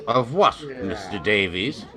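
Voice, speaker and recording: A man's voice: lowish voice, animated, measured pace, crowd noise. A man acting a cold, hard major in a western radio drama, clipped and authoritative. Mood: cold, commanding. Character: cold, hard, commanding major leading a posse.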